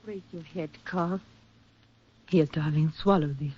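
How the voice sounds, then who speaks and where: A woman's voice: low-pitched, steady tone, measured pace, a little mellow, breathy edge. A woman acting a devoted, resolute lover in earnest 1940s radio drama style. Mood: tender, soothing. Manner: earnest, insistent, tender. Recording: muffled, noisy vintage 1940s radio recording.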